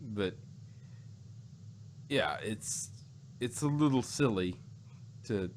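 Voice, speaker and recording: A man with a fairly low voice talks in a casual, discussion style. He rambles and repeats phrases as he works through his thoughts. The recording carries some background noise. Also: expressive, drawn-out words, a little mellow. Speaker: podcast co-host reviewing audio dramas.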